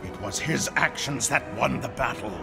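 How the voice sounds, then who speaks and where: Alien comrade male actor: heavy gravel, middling pitch, calm and reasonable. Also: moderate pace, loud music behind the voice, lightly acted. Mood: calm, questioning. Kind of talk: audio drama acting.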